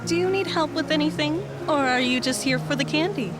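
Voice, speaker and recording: A woman's voice: high voice, brisk, lightly acted. A woman playing a friendly, helpful librarian in an audio drama, with a chirpy, obliging manner. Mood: cheerful, helpful. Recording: loud music behind the voice.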